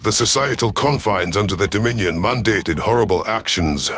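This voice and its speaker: Man voicing a low, grave alien predator. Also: a bit bright, soft background music. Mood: solemn. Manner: measured, formal, solemn speech. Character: grave remorseful reptilian alien predator soldier.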